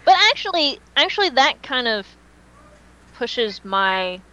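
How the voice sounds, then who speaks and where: A woman's voice: a little high, big pitch swings, slow, a bit bright, low-quality recording. A woman podcast host chatting casually and giving her opinions, with plenty of "like" and some swearing. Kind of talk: casual fan chat.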